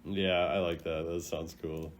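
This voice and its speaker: A young man giving casual, playful reaction commentary as a gaming video host, jumping between loud exclamations and low mutters. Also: flat delivery, a little slow. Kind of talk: casual reaction commentary ranking game voice lines.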